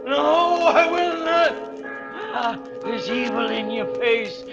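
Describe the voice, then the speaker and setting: An actor in a 1940s radio drama playing a stubborn, devoted old English bell ringer, slow and quavering. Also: male voice, very high-pitched, animated, vocal fry, full character voice. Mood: defiant. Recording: dull old radio recording, music behind.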